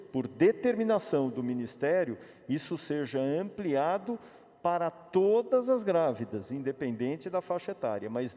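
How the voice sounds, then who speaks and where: A man's voice: a little high, dark tone. A man, the state health secretary, giving a formal clarifying answer at a press conference. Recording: reverberant hall.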